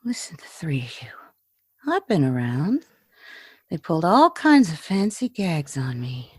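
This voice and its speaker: A woman playing a brash, suspicious, panicky society woman in a 1940s-style radio drama, sharp-tongued, demanding and melodramatic. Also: sits low, very animated, unhurried, slightly rough, no room sound, clean studio sound, heavily acted. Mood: defiant, angry. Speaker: voice actor.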